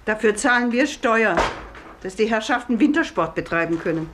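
An actress as a haughty middle-aged lady in a vintage radio thriller. Her fairly high voice is clipped and scornful, heard through faint archive noise. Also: lively intonation, normal speed, close to an everyday voice. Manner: disdainful, clipped. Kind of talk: 1950s radio play acting. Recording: noisy old archive radio recording.

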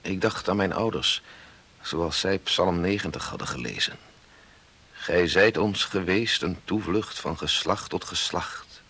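Deep, husky old grandfather narrator. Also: bright, forceful tone, full character voice. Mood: moved, devout. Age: older. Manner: reflective, earnest, measured. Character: devout, reflective old grandfather telling his family's story. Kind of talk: first-person narration in 1960s radio drama.

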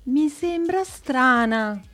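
A woman playing bossy old Clara, slow and firm. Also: high voice, animated, fairly bright tone, faint music behind, full character voice. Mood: bickering. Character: bossy elderly woman Clara, in her seventies, sure of herself. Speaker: actor. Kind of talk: radio play acting.

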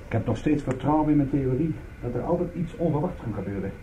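An actor playing a wry private detective in a radio play, speaking and narrating in a dry, understated way. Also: male voice, medium pitch, expressive, fast talker, mellow, a little hiss or hum, lightly acted. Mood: dry, resigned. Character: wry male private detective, calm under mortal danger. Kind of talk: radio play acting with first-person narration. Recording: muffled, phone-like radio drama recording.

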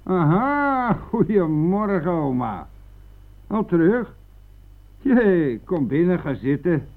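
A man's voice: sits high, very animated, slow, exaggerated voice. An actor playing a chatty, coarse, self-pitying, hard-drinking bus driver who needles his passenger in a colloquial radio-drama style. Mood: brisk, jovial. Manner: garrulous, jovial, needling, colloquial. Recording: tinny, band-limited, noisy old radio-play recording.

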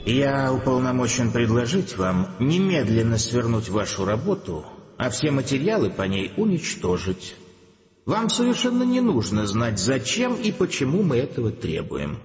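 A man's voice: medium pitch, sing-song, normal speed, a little mellow, natural voice. Echoing, officious alien emissary, played by a man. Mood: stern, official. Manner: officious, formal, stilted bureaucratic speech. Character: pompous male emissary of an alien supercivilization. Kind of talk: radio play acting. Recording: echoey hall, long reverb, dull sound.

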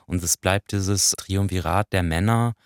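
A man's voice: low-pitched, measured pace, no echo. A man talks through a review as a podcast co-host. He explains things conversationally, adding small qualifying asides, and the sound is close and dry with no room on it. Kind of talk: conversational radio play review.

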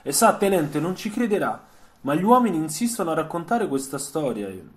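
A man voicing an embarrassed, bony army sergeant who speaks haltingly in a radio story. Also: a little high, very animated, voice pushed up. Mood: startled, awkward.

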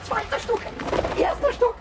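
A man voicing a cheeky, excitable little male kobold in a 1970s children's radio play, squeaky and creaky, stammering and exclaiming. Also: a little slow. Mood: frightened, relieved.

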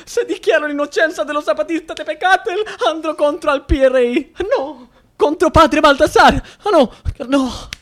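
A man's voice: very high voice, normal speed, fairly bright tone. An actor playing an anxious, self-pitying, cowardly male judge in a radio drama, rambling in agitation with questioning interjections. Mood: anxious, desperate. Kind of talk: stage-style radio drama acting.

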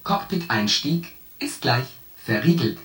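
An actor playing a calm, stubborn artificial intelligence aboard a spaceship, speaking in stilted, formulaic phrases in a science fiction radio play. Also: male voice, mid-range voice, a little slow. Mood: cold, formal. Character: calm, stubborn male shipboard artificial intelligence, coldly formal. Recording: echoing, distant, music behind.

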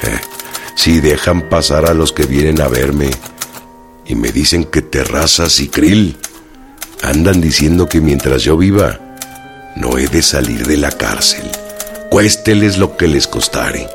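An actor with a Mexican accent playing a proud, loyal, imprisoned revolutionary in a radio drama, speaking in a slow, plain-spoken way. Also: male voice, very deep voice, gravelly, heavily acted. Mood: confiding. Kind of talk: radio drama, letters read aloud. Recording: faint music behind.

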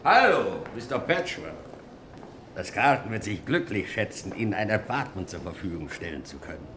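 An actor playing a fawning, pompous greeter from a luxury hotel in a radio crime play, with florid, formal manners. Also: male voice, fairly low pitch, very animated, normal speed, fairly bright tone, a little hiss or hum. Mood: fawning, grandiose.

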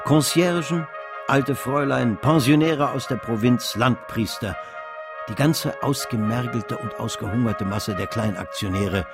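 A man's voice: medium pitch, close to an everyday voice. A man narrating a literary radio drama as an eloquent storyteller. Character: eloquent storyteller chronicling a financial frenzy.

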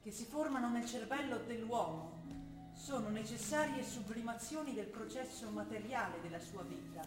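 A woman's voice: on the high side, expressive, moderate pace, natural voice. Young trentino woman, an actress in a play, earnest patient student daughter, echoey room, music behind. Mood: earnest, declaiming. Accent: Trentino, northern Italian. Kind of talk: stage drama acting. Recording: roomy theatre sound, music behind.

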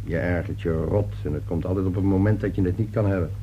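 An actor playing an irritable, defensive middle-aged businessman father in a radio play, with a gruff, impatient, blustering manner. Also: low-pitched, quick pace, dull audio, natural voice. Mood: fussy, grumbling. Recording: noisy old radio play recording.

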